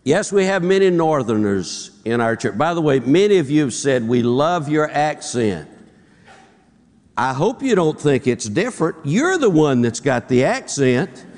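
A man's voice: a little high, big pitch swings, unhurried, darkish tone. A man with a Southern US accent preaching informally, folksy and joking, repeating phrases as he tells anecdotes. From southern United States. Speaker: pastor, guest conference preacher. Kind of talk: informal, anecdotal sermon to an audience. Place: large auditorium before a congregation. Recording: reverberant large hall.

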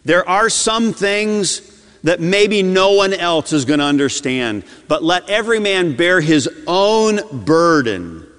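A man preaching a sermon as a pastor, his delivery swinging between loud urgency and hushed intensity. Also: high-pitched, lively intonation, takes it slowly, smooth. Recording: long hall reverb.

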